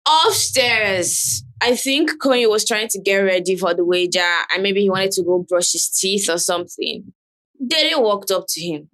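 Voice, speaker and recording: A woman's voice: mid-range voice, rough recording. A woman co-hosting a casual chat, steadily recounting what happened and filling in details. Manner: steady storytelling, fills in details. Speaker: co-host. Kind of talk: casual chat, retelling events.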